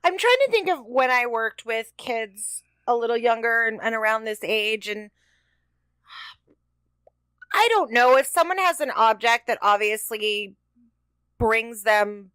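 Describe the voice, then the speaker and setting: A woman's voice: on the high side, animated, unhurried, fairly bright tone, clean tone, dry recording. A woman co-host talking casually and conversationally about a television show. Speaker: podcast co-host. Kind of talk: casual chat, TV episode discussion.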